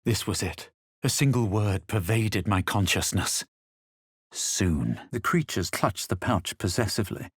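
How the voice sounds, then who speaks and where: A man playing a self-assured, haughty adventurer in a fantasy video game, speaking in a measured, theatrical, superior way. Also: fairly low pitch, breathy edge, no room sound, studio quality. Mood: composed, measured. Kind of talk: fantasy game dialogue and narration.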